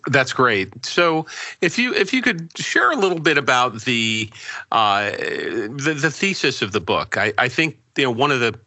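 A man's voice: mid-range voice, very animated, a little slow, sharp tone, no echo. A man hosting a business podcast, asking long questions with many fillers and restarts. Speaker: podcast host. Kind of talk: podcast interview.

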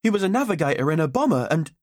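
A man voicing an unhappy, stubborn schoolboy with guarded, quietly defiant replies in an audiobook story. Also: on the high side, big pitch swings, very fast, no echo, clean studio sound, pitched above the performer's own voice.

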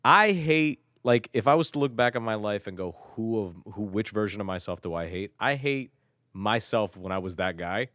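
A man's voice: lowish voice, expressive, moderate pace, a bit bright, dry recording, studio quality. A man from Turkey talking in loose casual chat, rambling and self-mocking, with lots of swearing and restarts.

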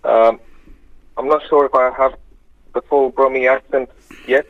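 Male doctor guest from Birmingham, on a tinny phone line, dry sound, measured and halting. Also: mid-range voice, fairly even intonation, no echo. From Birmingham, England. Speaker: GP, medical guest. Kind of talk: explanatory radio interview.

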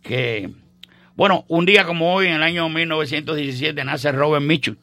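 A man's voice: on the high side, moderate pace, pushed and bright, no echo. An older man from Cuba with a Cuban accent, hosting a radio show and chatting about history in a rambling, joking way with many fillers and restarts. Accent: Cuban Spanish. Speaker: radio host. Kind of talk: casual on-air history chat.

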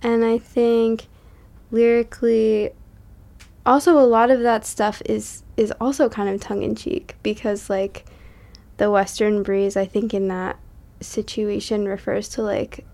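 Chatty young woman with a creaky voice. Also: medium pitch, little pitch movement, measured pace, dark tone. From Massachusetts. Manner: chatty, laughs often, many likes and ums. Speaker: musician guest. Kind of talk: casual podcast interview.

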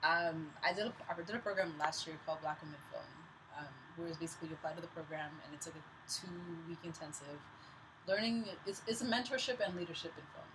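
Brief: rapid-fire woman guest, lowish voice, slangy and joking, noisy studio recording. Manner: fast, joking, slangy storyteller. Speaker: guest filmmaker. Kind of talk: casual podcast chat. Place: podcast studio.

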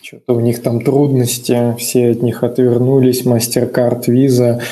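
Flat, offhand male co-host, mellow voice. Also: mid-range voice, unhurried. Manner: flat, offhand, swears casually. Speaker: podcast co-host. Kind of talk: casual chat.

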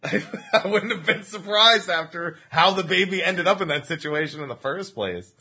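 Man, host, casual game chat, online call, husky, lively pitch. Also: normal speed. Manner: animated, laughs often, jokes about the show. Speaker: podcast host. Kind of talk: casual group chat about a video game.

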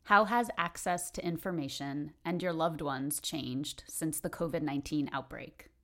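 A woman hosting a podcast, reading questions and closing credits in a measured, formal way. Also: low-pitched, fairly bright tone. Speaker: podcast host.

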